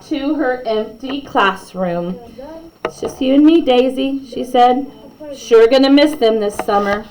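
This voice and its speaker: A woman's voice: fairly high pitch, low-quality recording. A woman reading a children's bedtime story aloud expressively, with chatty asides to young listeners.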